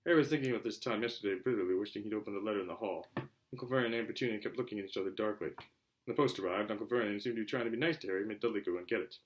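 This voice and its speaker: A man narrating a children's novel aloud in an animated way, occasionally stumbling over words. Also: fairly low pitch, racing, rough recording. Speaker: reader, show host. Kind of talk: amateur audiobook-style story narration.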